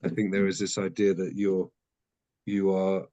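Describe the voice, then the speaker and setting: A man with a fairly low voice leads an adult study class. He talks in a halting way, full of restarts and self-corrections, as he works through an idea out loud. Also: level tone, muffled recording. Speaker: class teacher. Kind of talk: teaching a text study class.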